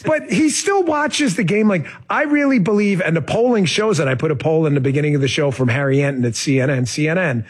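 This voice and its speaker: A man from New York hosting a political talk radio show, rambling with hesitant restarts before lively stories. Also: a little high, a little fast, few pauses, slightly rough.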